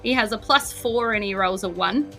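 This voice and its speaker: A woman with a New Zealand accent playfully improvising narration as game master of a tabletop game, pausing as she describes the action. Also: mid-range voice, gravelly, sharp tone, faint music behind, low-quality recording.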